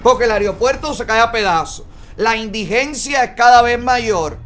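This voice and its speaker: A Cuban man in his forties hosts a studio commentary with a very high-pitched voice. He fires off indignant rhetorical questions and clips his words. Faint music plays behind him. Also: moderate pace, a bit bright. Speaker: host. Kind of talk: opinion commentary. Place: studio with yellow backdrop.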